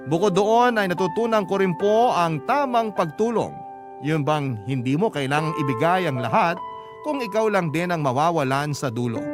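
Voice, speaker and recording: A man with a Filipino accent reading a letter as scripted, sentimental radio drama narration. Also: on the high side. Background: prominent music.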